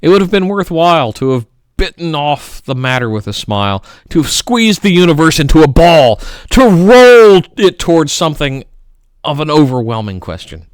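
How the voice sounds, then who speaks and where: A man giving a theatrical, melodramatic poetry reading, swinging between loud outbursts and hushed murmurs. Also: fairly high pitch, sing-song, normal speed, smooth, dry recording. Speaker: poetry reader.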